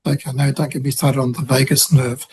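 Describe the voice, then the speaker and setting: A man's voice: mid-range voice, flat delivery, a little fast, husky. A man hosting an interview, asking curious, conversational questions with hesitant fillers. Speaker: interviewer host.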